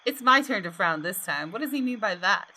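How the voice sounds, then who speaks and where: A woman's voice: mid-range voice, lively intonation, fairly quick, very noisy. A woman hosting a gaming video, reading the story narration aloud expressively with casual asides, close to the microphone.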